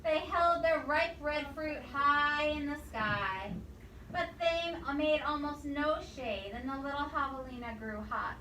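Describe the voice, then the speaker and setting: High-pitched lady doing storytime for little kids, asks them questions, echoey noisy room. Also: recorded from a distance. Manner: expressive, pausing to quiz the listeners. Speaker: teacher reading aloud to young children. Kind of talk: storybook read-aloud with questions to children. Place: indoor room with children present.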